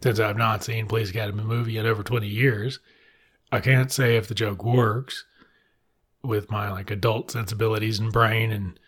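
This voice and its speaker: A middle-aged American man from Mississippi with a husky voice, giving comic commentary in an irreverent, sardonic, swearing manner. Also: on the low side, little pitch movement, moderate pace, a bit bright. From Mississippi, United States.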